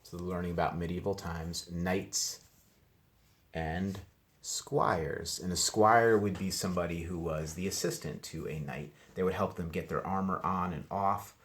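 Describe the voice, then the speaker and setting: A man's voice: sits low, fairly even intonation, measured pace. A man working as a teacher, reading a picture book to young children and commenting casually in simple explanations. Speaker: teacher reading aloud to first grade class.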